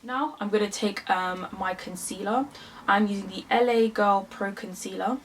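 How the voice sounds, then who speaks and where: A woman's voice: medium pitch, moderate pace, far from the mic, slight background noise. A woman in her twenties from London with a London accent, chatting casually through a makeup tutorial with lots of fillers. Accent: London English. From England. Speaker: beauty vlogger.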